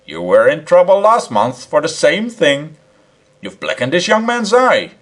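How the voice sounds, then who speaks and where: A man doing a stern, loud policeman. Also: a little high, wildly swooping pitch, a little slow, voice pushed up, heavily acted. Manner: severe, loud, scolding. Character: stern, no-nonsense male policeman. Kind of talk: audiobook character reading.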